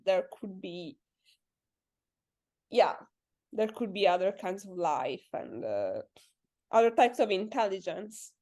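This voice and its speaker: A woman's voice: medium pitch, lively intonation, slow pace, long pauses, darkish tone. Hesitant young woman in a science interview. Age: 20s. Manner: thoughtful, hesitant, many fillers. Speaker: guest astrophysics PhD student. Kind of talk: casual interview chat.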